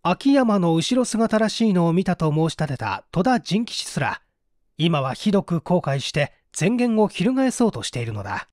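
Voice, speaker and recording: A man narrating a detective story as an audiobook, with theatrical, deliberate phrasing. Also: high voice, sing-song, a little mellow, no echo, studio quality. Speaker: audiobook narrator, stage actor.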